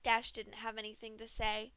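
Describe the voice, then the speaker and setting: Bright-voiced woman reading grim fiction. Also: on the high side, completely flat delivery, normal speed, clear, resonant tone, no echo. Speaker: fanfiction reader. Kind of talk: reading a dark fanfic aloud.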